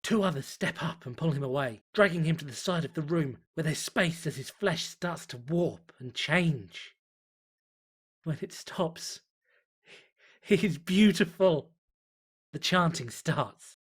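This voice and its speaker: A man voicing a fanatical cult diarist, reverent and zealous, in a solemn, deliberate horror story narration. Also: a little high, expressive, slightly rough. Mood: rapt, zealous.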